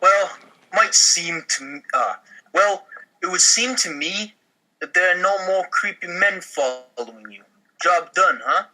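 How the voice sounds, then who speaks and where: A man playing an earnest, chivalrous young man in a comedy table read, in a halting delivery. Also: sits high, expressive, bright, forceful tone, voice pushed up, full character voice, low-quality recording.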